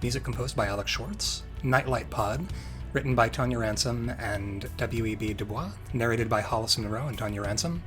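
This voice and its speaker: A man with a medium-pitched voice announces the end credits of an audio drama. He reads from a script, name after name, with music playing underneath. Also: moderate pace.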